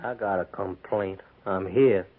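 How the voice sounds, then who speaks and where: A man's voice: low voice, unhurried. An American man playing a bitter, defiant Confederate prisoner turned Union soldier in a 1950s radio drama, speaking sullenly in blunt, folksy frontier grammar. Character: bitter, defiant captured Confederate cavalryman pressed into Union service. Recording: tinny, band-limited 1950s radio recording.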